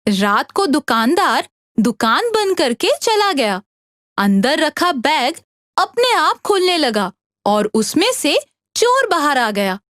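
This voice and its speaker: A woman narrating a scripted story for an animated tale. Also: high-pitched, takes it slowly, dry recording, clean studio sound.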